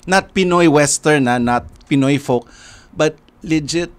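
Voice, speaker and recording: A man's voice: a little high, a little slow, smooth. Filipino man hosting, switches Tagalog and English. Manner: mixes Tagalog and English, animated when excited. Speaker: podcast host. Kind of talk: casual chat. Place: studio room full of gear and shelves. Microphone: handheld mic on a stand, headphones.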